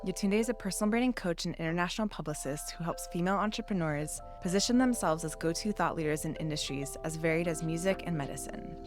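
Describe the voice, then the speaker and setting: Brief: woman host, lowish voice, enthusiastic and bubbly, music under the intro. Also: quick pace, hardly any pauses. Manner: enthusiastic, bubbly and loud in conversation. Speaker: podcast host. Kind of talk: scripted intro, then casual interview. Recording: intro read over music.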